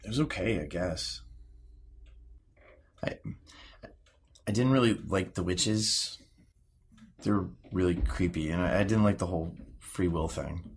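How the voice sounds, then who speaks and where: An actor playing a guarded, easily frustrated sixteen-year-old boy in an audio drama, speaking in a halting, hesitant way. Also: low voice, slightly rough, exaggerated voice. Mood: hesitant, uneasy. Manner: halting, mumbled, hesitant teenage speech. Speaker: actor playing a therapy patient. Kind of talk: naturalistic audio drama dialogue.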